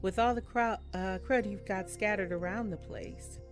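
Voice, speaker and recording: A woman's voice: mid-range voice, steady tone, moderate pace. A woman giving casual let's-play commentary and reading game text aloud in her own voice, with music behind her. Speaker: game streamer reading visual novel dialogue aloud.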